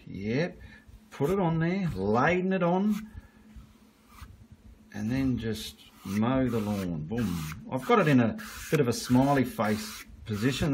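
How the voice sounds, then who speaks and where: A man's voice: medium pitch, darkish tone, a little hiss or hum. A man giving a casual, blunt painting tutorial while he works at an easel, with the odd mild swear.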